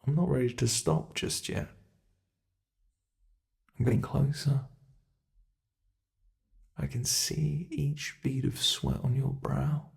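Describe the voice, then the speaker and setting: A man playing a gravelly, taunting centuries-old monster. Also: mid-range voice, takes it slowly, pauses often. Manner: taunting, drops to close whispers. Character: ancient male monster, playful, cruel predator. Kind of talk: ASMR roleplay.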